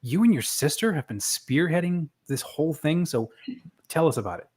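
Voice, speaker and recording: A man's voice: fairly high pitch, expressive, moderate pace, darkish tone, slightly rough. A man hosting an interview show in a casual, informal, encouraging way.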